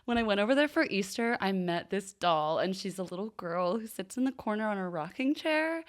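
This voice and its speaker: A woman chats casually as a podcast co-host. Her voice sits at an ordinary pitch, and she tells stories informally, peppering them with "like" as she goes. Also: expressive, fairly quick. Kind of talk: casual chat.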